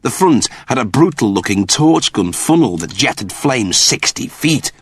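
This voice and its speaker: A man reading scripted fiction as an audiobook narrator, with animated, theatrical storytelling. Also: medium pitch, slightly rough, no echo.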